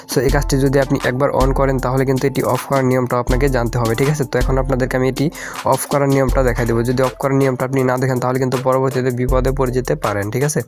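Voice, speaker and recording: Fast-talking man over clear background music. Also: mid-range voice. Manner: repeatedly checks in with the listener. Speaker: tech tutorial host. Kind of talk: informal step-by-step video tutorial narration.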